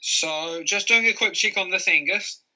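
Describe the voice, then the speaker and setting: A man's voice: high voice, moderate pace, sharp tone, rough recording. A man hosting a quiz and reading out results, hesitant and often correcting himself. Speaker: quiz host. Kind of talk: announcing quiz results on a livestream.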